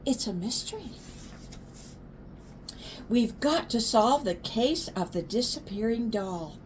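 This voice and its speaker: A woman's voice: fairly high pitch, animated, slow pace, darkish tone, a little hiss or hum. An older woman voicing a curious, theatrical little girl who plays detective in a storybook. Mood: intrigued, determined. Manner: theatrical, emphatic. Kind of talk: storybook read-aloud.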